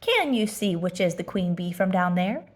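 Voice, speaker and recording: A woman voicing an earnest, anxious little male toy bear in a children's storybook, speaking in a deliberate, declamatory way. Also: lowish voice, big pitch swings. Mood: earnest, practical.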